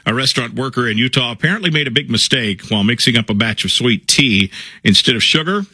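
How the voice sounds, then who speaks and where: A man reading radio news headlines as the station anchor, in a steady announcer delivery. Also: mid-range voice, fast talker, no echo.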